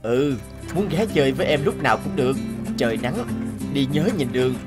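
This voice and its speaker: A man's voice: mid-range voice, lively intonation, fairly quick, over music, lightly acted. A man voicing a kind, friendly young father who repairs bikes in a cartoon, speaking reassuringly. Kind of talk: cartoon voice acting.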